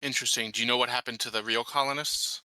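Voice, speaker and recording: Man, tabletop player, very sharp bright tone, measured formal phrasing, dry sound. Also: mid-range voice, fairly even intonation, no echo. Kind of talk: in-character roleplay and table talk.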